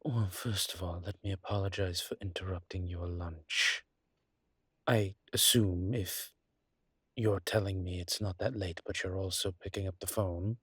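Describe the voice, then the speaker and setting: A man playing a courteous, wry vampire with formal, hesitant phrasing and a husky voice in an audio roleplay. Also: low voice, steady tone, unhurried, a bit bright, no room sound, heavily acted. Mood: courteous, coaxing. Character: courteous, wry adult male vampire wary of daylight. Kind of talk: one-sided audio roleplay acting.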